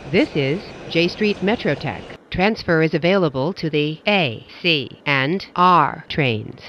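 A woman reading recorded train announcements in a measured, formulaic way with careful enunciation. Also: low-pitched, lively intonation, a bit bright, lo-fi sound, a little hiss or hum.

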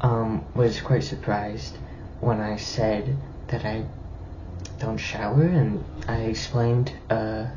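A man's voice: on the low side, fairly even intonation. Hesitant young man on lo-fi phone audio. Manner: halting, hesitant, with fillers. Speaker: TikToker. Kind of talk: selfie-camera monologue. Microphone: phone held at arm's length. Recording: lo-fi phone audio with faint noise.

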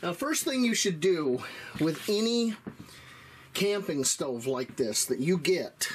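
A middle-aged man explaining things in a casual, folksy, deliberate way, like a hands-on product reviewer. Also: fairly high pitch, a little hiss or hum.

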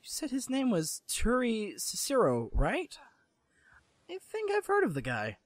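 Man doing a brash young gamer guy, teasing, animated, dry close mic. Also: extremely high pitch, a little slow, no echo, heavily acted. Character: upbeat young male gamer friend, cocky. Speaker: voice actor in a fan dub. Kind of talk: visual novel voice acting.

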